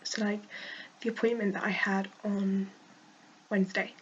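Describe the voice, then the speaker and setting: Croaky woman vlogging with a cold. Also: medium pitch, level tone, normal speed, lo-fi sound, a little hiss or hum. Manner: laughs often, frank and exasperated. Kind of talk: casual vlog rant.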